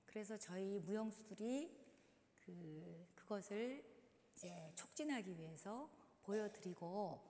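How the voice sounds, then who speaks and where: A hoarse woman guiding a congregation through simple prayer movements in a church, speaking slowly and encouragingly. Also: mid-range voice, expressive, mellow. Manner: slow, instructive, encouraging. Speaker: dance leader in a church service. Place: large church sanctuary. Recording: long reverb in a large hall.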